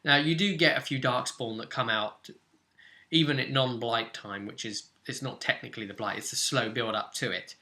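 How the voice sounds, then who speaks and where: A man's voice: mid-range voice, moderate pace, pushed and bright. A man hosting as game master, casually explaining a tabletop role-play session in loosely phrased speech with asides.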